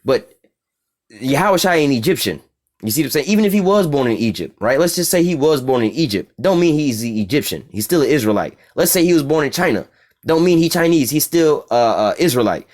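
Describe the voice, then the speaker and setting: A man's voice: on the high side, sing-song, brisk, a little mellow. A man from the United States with an American accent, giving an informal, profane bible teaching and keeps asking "all right?".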